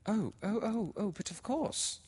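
A man's voice: high-pitched, lively intonation, a little slow, darkish tone, husky, voice pushed up, exaggerated voice. A man voicing a nervous, well-bred young girl who stammers and pleads in a read-aloud story. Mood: flustered. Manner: flustered and stammering.

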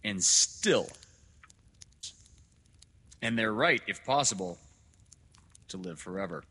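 A man voicing an overbearing elderly male doctor in a storybook reading, lecturing loudly and emphatically. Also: lowish voice, expressive, slow pace, slightly rough, full character voice. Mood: stern lecturing.

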